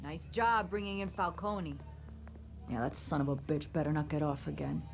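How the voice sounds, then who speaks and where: Low, gravelly police sergeant. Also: female voice, steady tone, moderate pace, faint music behind. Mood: gruff approval. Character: tough, supportive female police sergeant. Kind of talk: dramatic game voice acting.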